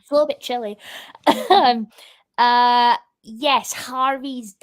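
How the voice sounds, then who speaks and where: Woman co-host doing book chat, voice a bit high, giggly and casual. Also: takes it slowly. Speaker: book club live show co-host.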